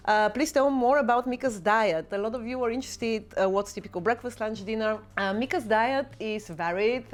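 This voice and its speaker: A woman in her thirties whose first language is Bulgarian talks casually to her viewers in a Q&A vlog. Her voice sits a little low. She reads out each question and then answers it at length. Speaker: parenting vlogger.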